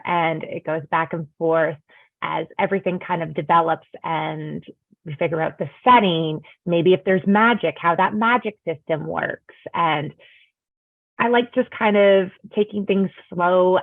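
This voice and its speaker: A woman's voice: on the low side, no room sound. A woman author on a panel who explains her writing process thoughtfully and step by step. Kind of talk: panel discussion answer. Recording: dry, tinny phone-like line.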